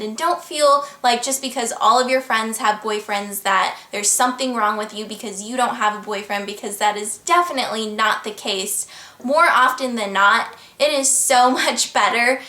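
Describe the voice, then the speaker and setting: Young woman, American accent, twenties, casual vlog chat, earnest, upbeat, slightly high voice, echoey room. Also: bright, forceful tone. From United States. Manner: chatty, earnest and upbeat, with frequent laughs. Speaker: vlogger. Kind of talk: casual chat to camera, answering viewer questions. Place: at home, indoors.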